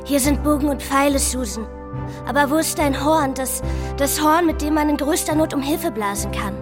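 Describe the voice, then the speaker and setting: Someone acting a worried earnest little sister in a kids audio drama, very high voice over music. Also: moderate pace, lightly acted. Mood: concerned.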